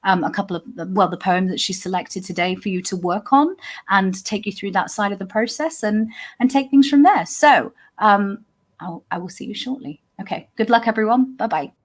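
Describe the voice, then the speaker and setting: A woman's voice: medium pitch, moderate pace. A woman hosting an online event over a video call, welcoming and effusive as she introduces the guest. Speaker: host.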